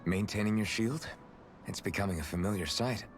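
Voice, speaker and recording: A man's voice: fairly low pitch, fairly quick, a little hiss or hum, close to an everyday voice. An actor playing a friendly, attentive young male adventurer in a video game, in a conversational manner. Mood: curious, friendly. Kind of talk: scripted video game dialogue. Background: game music.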